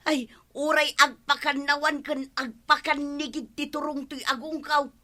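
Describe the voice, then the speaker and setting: A woman playing an excitable, plaintive village woman in an Ilocano comedy radio drama, exclaiming and complaining. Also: high-pitched, unhurried. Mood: alarmed, whiny.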